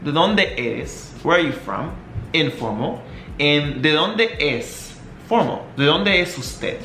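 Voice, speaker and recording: A man's voice: a little high, lively intonation, pushed and bright, slight background noise. Young man teaching phrases in an echoey room. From Texas. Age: young adult. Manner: repeats phrases slowly, drawn out, with many "right?" checks. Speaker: Spanish teacher. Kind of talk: casual video lesson. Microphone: camera mic.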